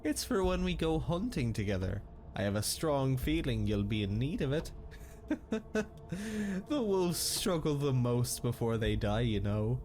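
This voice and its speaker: A male actor playing a sinister, fawning castle physician in a dark fantasy audio drama, unctuous and deliberate. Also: mid-range voice, big pitch swings. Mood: quietly menacing. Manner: unctuous, deliberate, chuckling menace.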